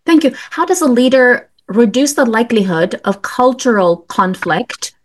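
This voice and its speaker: A woman's voice: fairly high pitch, normal speed, a little hiss or hum. A woman from Romania, hosting an interview and speaking directly and frankly. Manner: direct, frank, fillers like "like" and "you know". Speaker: podcast host. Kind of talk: interview questions, casual chat.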